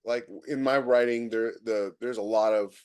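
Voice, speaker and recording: A man's voice: medium pitch, fairly even intonation, moderate pace, a little mellow, smooth. An American man hosting a podcast in casual chat, opinionated and given to illustrating his points with anecdotes. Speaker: podcast host.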